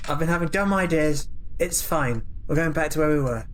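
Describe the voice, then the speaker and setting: A man's voice: on the high side, brisk. A man playing a tabletop role-playing game, chatting casually in character and often repeating words and phrases. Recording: faint background noise.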